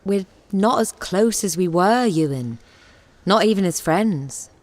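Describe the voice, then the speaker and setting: An actress playing a guarded, stubborn theatre director in an audio drama, with a cool manner. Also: female voice, mid-range voice, lively intonation, unhurried, darkish tone, big-room sound, lightly acted. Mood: cool, firm.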